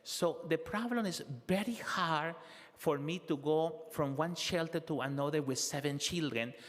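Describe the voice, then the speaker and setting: A man interpreting testimony in the first person, halting and filling pauses with "eh" and "huh?", at a city council hearing. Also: on the high side, long reverb tail. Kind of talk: consecutive interpretation of public testimony.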